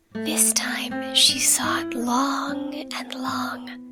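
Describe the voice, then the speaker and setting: A woman whispers a bedtime fairy tale in gentle ASMR style. Her hushed delivery is very slow and high in pitch. Soft plucked guitar plays behind her. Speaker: ASMR performer reading a bedtime story. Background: quiet plucked guitar music.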